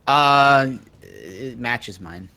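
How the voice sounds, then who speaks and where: A man's voice: medium pitch, animated, drawn-out words, creaky, bright, forceful tone. A man playing a tabletop roleplaying game, chatting casually and joking with mock exasperation.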